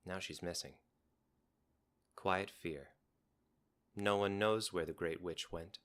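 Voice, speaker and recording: Low, crisp-edged male storybook narrator. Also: takes it slowly, a bit bright. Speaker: guest narrator of a game's storyline. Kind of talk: scripted storybook-style narration.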